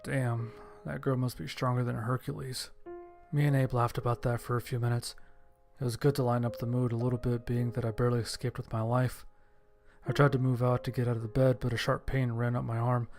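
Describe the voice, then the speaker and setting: Man playing an even-toned survivor narrating his ordeal. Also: mid-range voice, a little fast, soft background music, natural voice. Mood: surprised, casual. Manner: scripted, descriptive storytelling with casual profanity. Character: Simon, injured male survivor narrating his own ordeal. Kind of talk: first-person scripted horror audio drama narration.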